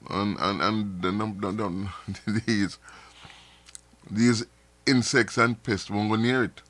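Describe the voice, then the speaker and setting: A man's voice: fairly low pitch, fairly even intonation, slow pace, fairly bright tone, no room sound. A man hosting a live stream, talking casually to viewers with frequent repeats and restarts, sounding a little nasal from dust in his throat. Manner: rambling, repeats words and restarts often.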